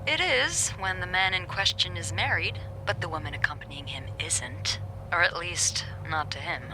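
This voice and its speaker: A woman's voice: on the low side, animated, moderate pace, noisy background, natural voice. A woman playing a sly, teasing, self-assured hotel receptionist in a video game, with a wry, confident delivery. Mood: playful, intrigued.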